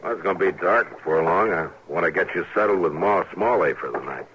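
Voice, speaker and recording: An actor playing a gruff, awkwardly kind frontier marshal in an old-time radio western, halting and stammering. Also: male voice, close to an everyday voice. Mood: awkward, kindly. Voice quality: deep, gravelly voice. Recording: muffled, noisy old radio recording.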